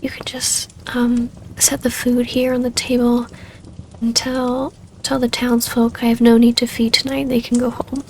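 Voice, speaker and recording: A woman acting a wistful, tender vampire baroness in an ASMR roleplay, speaking softly and haltingly in an intimate delivery. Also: fairly high pitch, completely flat delivery, slight background noise.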